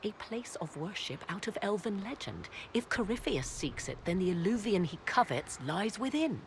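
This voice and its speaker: A woman's voice: medium pitch, big pitch swings, normal speed, very noisy. A woman voicing an aloof female mage who talks in formal, old-fashioned phrasing with a haughty edge. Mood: cool. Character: aloof, sharp-tongued female witch and mage. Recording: game cutscene with faint score.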